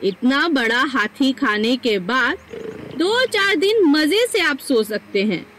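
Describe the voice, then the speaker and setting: A woman voicing a sly female fox for an animated story, flattering and wheedling in a persuasive, treacherous way. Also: sits high, slow pace, faint music behind, voice pushed up, heavily acted. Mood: coaxing, scheming. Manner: wheedling, persuasive, repeats pleas.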